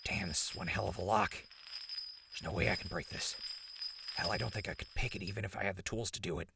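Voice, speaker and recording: An actor playing a sardonic, laid-back ghoul survivor in an audio drama, raspy and gravelly, with a casual, teasing way of talking. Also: male voice, sits low, steady tone, quick pace, background noise. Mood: resigned. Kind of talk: post-apocalyptic audio drama acting.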